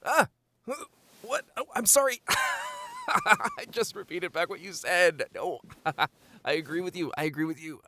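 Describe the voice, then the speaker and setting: A man's voice: sits high, wildly swooping pitch, unhurried, pushed and bright, voice pushed up, full character voice. A man playing a playful boyfriend in an ASMR roleplay, squirming and stammering in giggly protest as he is tickled. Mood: giggly, flustered. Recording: faint rain noise behind. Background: rain ambience.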